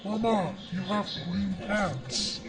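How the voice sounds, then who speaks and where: High, very slow voice-changed man. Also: over loud music. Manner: repeats short phrases. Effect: pitch-shifting voice changer.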